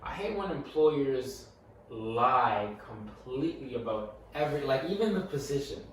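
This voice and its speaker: A man's voice: mid-range voice, lively intonation, moderate pace. A young man co-hosting a casual podcast, telling a story animatedly and stuttering and repeating words. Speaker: podcast co-host. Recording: echoey room, mic far off, faint noise.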